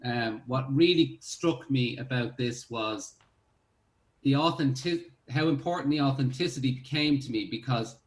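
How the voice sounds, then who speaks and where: A man's voice: mid-range voice, moderate pace, reverberant. An Irish man, a filmmaker, answering interview questions in a reflective way with many fillers and restarts. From Ireland. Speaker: filmmaker who cast the film.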